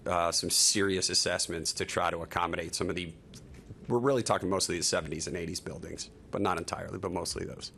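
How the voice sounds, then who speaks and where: A man's voice: deep voice, sharp tone, a little hiss or hum. A man on county staff answering a commissioner's question at a hearing, hesitant with many fillers and restarts. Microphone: podium microphone.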